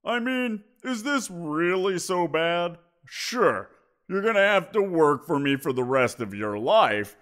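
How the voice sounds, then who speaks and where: A man's voice: high voice, fairly bright tone, full character voice. A man playing a smug teasing giant creature. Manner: playful, teasing, drawn-out. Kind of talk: audio roleplay.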